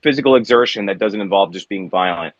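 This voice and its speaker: A man from the United States, a guest musician, talking in a reflective, halting way with many restarts. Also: low-pitched, no room sound, dull audio. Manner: reflective, halting with repeated starts. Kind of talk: casual conversation.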